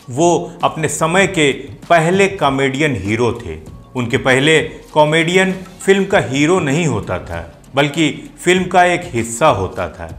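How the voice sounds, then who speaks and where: A man's voice: fairly high pitch, fairly bright tone. A man hosting and explaining things to viewers in video commentary. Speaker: host of a film music show. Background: music playing behind the voice.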